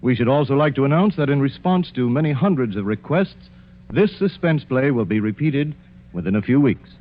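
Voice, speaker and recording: A man's voice: mid-range voice, animated, brisk. A man reading a formal scripted announcement in 1940s radio style. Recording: tinny narrow-band 1940s radio recording.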